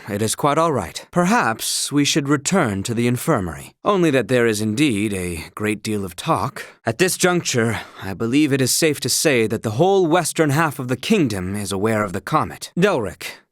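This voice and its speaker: A man's voice: mid-range voice, very animated, moderate pace, few pauses, a bit bright, dry recording, clean studio sound. A man playing an earnest, proud young retainer in a video game, speaking in formal, archaic courtly phrasing. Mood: measured, courteous. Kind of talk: video game character acting.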